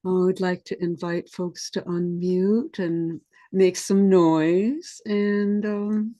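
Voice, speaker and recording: Slow, low-voiced woman hosting an online reading. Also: steady tone, mellow, dry recording, highs cut off. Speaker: reading host. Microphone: video call.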